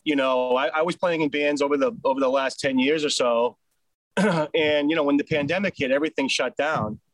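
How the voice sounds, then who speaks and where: Here is a man from Boston with that accent, easygoing and chatty as he tells how things came about. His voice sits a little high for a man. The sound is dry and close, with no room around it. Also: brisk, dry recording, rough recording. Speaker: band singer and rhythm guitarist. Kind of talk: casual storytelling in an interview.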